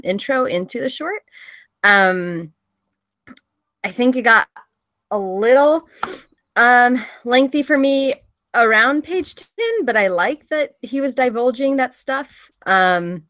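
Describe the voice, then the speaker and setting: A woman's voice: mid-range voice, a bit bright, no echo. A woman giving casual, tentative feedback as a panelist, talking informally and thinking her points through as she goes. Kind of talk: casual spoken critique at a table read.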